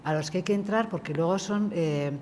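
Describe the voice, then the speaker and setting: A woman's voice: on the low side, fairly quick, a little mellow, a little hiss or hum. A woman in the audience giving an earnest, emphatic comment from the floor at a conference, thinking aloud and restarting her sentences. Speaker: audience member. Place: conference hall. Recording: reverberant hall with faint ambience.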